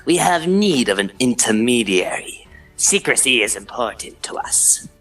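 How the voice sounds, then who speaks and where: A man's voice: mid-range voice, very animated, a little slow, fairly bright tone, soft background music. A man voicing a scheming, commanding alien robot villain with an imperious, formal delivery in a dramatic comic dub. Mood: grandiose, cold.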